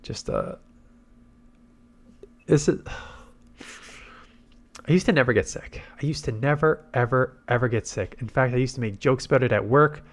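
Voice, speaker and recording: A man's voice: mid-range voice, moderate pace, long pauses. A man congested with a head cold gives casual gameplay commentary, thinking aloud through his tactics. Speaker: game commentator and video host.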